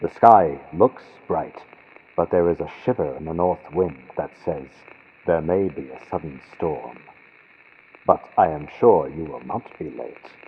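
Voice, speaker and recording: Man as deep-voiced foreboding maître d'hôtel. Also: lively intonation, unhurried, slightly rough, heavily acted. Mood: cautioning. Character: courteous hotel maître d'hôtel, smiling yet quietly foreboding. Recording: muffled vintage-radio sound from built-in computer mic.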